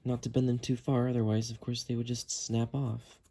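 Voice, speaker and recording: Guy doing a casual diy walkthrough, mellow mid-pitched voice, explains stuff while he works. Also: flat delivery, moderate pace. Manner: explanatory, talking while working with hands. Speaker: host of a how-to cleaning video. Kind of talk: casual hands-on tutorial narration.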